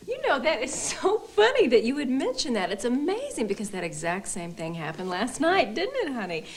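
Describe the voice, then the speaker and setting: A woman's voice: mid-range voice, animated, moderate pace, lightly acted. An actress playing a polite, over-explaining wife who covers for her husband in a comedy film. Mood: eager, gushing.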